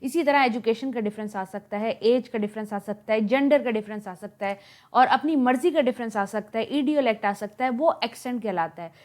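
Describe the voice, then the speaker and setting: A woman's voice: fairly high pitch, brisk, darkish tone. A woman from Pakistan with a Pakistani Urdu accent, explaining linguistics notes as a lecturer, repeating points with examples and slipping in English terms.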